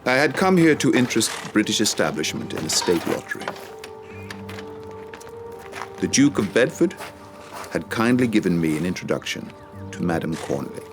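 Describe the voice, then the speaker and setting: An actor playing an ageing, rueful Casanova, recounting his love affairs in a measured, literary way as dramatised memoir narration. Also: fairly low pitch. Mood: wry reminiscing.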